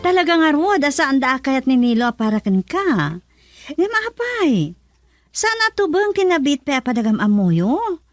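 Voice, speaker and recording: High-voiced, concerned Filipina confidante. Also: female voice, very animated, moderate pace, soft background music. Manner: earnest, advising. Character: concerned female confidante counselling her lovelorn friend. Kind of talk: Ilocano radio drama acting.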